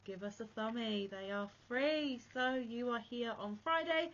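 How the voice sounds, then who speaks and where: A woman's voice: on the high side, unhurried, a bit bright, highs cut off. A woman hosting a crochet channel, chatting casually to camera while unwell, a bit wheezy and chesty, with a rambling, self-deprecating manner.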